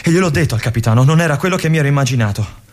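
An actor playing a restless young army lieutenant in a radio monologue, confiding and brooding in halting asides. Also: male voice, medium pitch, expressive, lightly acted. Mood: uneasy. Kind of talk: theatrical monologue.

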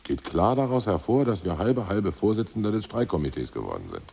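An actor playing a blunt, coarse ousted party official in a radio drama, with a gravelly, husky voice. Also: male voice, lowish voice, very animated, brisk, a little mellow, full character voice. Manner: blunt, coarse, self-assured bluster. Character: ousted party boss, gruff cynical man. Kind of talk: 1980s radio drama acting.

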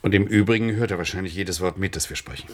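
Man playing an intense rebel husband, very deep voice, radio drama, bit of background noise. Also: quick pace, lightly acted. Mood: guarded, tender. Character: defiant male political dissident, protective husband. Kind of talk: German radio play acting.

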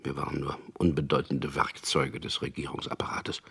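An actor playing a wry, weary propaganda copywriter in a German radio drama, speaking in a dry, ironic way. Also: male voice, extremely low pitch, flat delivery, normal speed, sharp tone, exaggerated voice. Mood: wry, resigned. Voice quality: husky, gravelly. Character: wry, weary male propaganda copywriter, narrating his story. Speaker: lead actor and first-person narrator.

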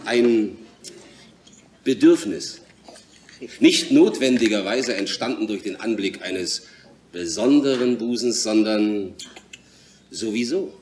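A man's voice: lowish voice, animated, slow, long pauses, a little mellow. An actor playing a brash, bawdy young man in a German radio play, teasing and lecturing in a worldly, mocking way. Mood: lecturing, amused. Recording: echoey room, slightly distant, faint hiss.

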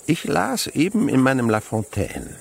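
Aging dreamy naturalist, swooping intonation. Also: male voice, mid-range voice, sing-song, creaky, slight background noise. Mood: musing, lively. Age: older. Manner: poetic, musing, theatrical, breaks into slow singing. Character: aging male naturalist, dreamy, fond of insects. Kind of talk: German radio play acting.

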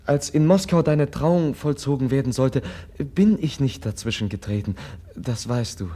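An actor playing a gentle, compassionate young Russian nobleman in a German radio drama, earnest, halting and soft-spoken, with a husky voice. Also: medium pitch, natural voice. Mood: earnest, measured.

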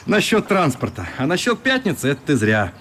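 A man playing a friendly, adventurous, comradely traveller in a radio play, brisk and good-humoured. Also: a little high, huge pitch swings, fairly bright tone, a little hiss or hum.

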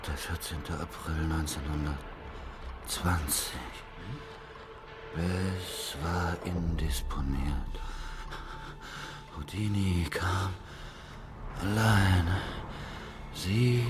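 Casting: a low-voiced stage-style psychic, courteous yet dramatic, halting phrases, radio play with underscore. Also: male voice. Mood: trance-like, intense. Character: theatrical male clairvoyant, polite, dramatically conjuring visions. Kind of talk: German radio drama acting.

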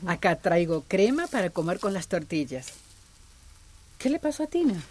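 An actress playing a calm, reassuring, neighbourly village activist in a radio drama. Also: female voice, on the low side, normal speed. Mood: concerned. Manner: neighbourly, expressive.

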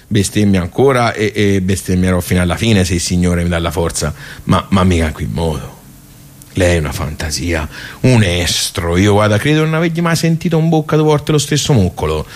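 A forgetful old regular of a village social club in a radio play. His voice is on the low side, with a Tuscan accent. He rambles colloquially, stumbling and doubling back over his words as he tells his stories. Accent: Tuscan Italian. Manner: rambling, halting, repeating himself, colloquial. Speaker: actor performing a radio theatre monologue. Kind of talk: theatrical vernacular monologue told as casual bar storytelling.

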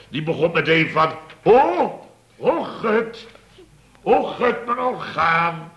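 A man's voice: fairly high pitch, sharp tone, exaggerated voice. An actor playing a blustering, exasperated boss of a building firm in a radio comedy, exclaiming and repeating himself as he tells his tales. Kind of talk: Dutch radio comedy acting, anecdote telling.

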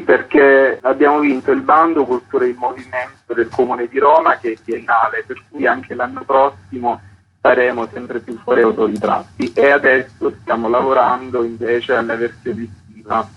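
A man answers casually in a radio interview as an organiser. His voice is husky, and the sound is dull and lo-fi. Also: mid-range voice, fairly even intonation, moderate pace. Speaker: interview guest, event organiser. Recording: dull, narrow-band phone-style radio sound.

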